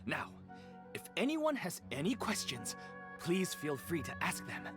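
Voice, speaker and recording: A woman's voice: fairly low pitch, wildly swooping pitch, a little slow. A woman voicing a polite, cheerful, persuasive organizer in a video game, with a courteous and coaxing way of talking. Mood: welcoming, orderly. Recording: music behind the voice.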